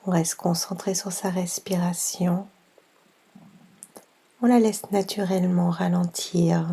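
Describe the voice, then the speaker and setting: A woman leads a guided meditation very slowly, leaving long pauses between phrases. Her voice is soft and half-whispered, on the low side and mellow, with a lot of creak at the edges. She gives each instruction gently and without hurry. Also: steady tone. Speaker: yoga nidra guide.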